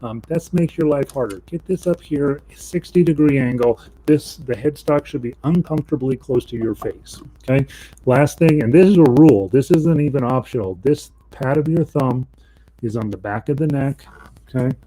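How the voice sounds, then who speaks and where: A man's voice: normal speed, dark tone, husky, poor audio. A man teaching a live online ukulele class from home, talking casually with lots of ums and restarts into a desk microphone. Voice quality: low voice. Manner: casual, rambling, with many ums and self-corrections. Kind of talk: live online music lesson. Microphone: voice mic and separate instrument mic.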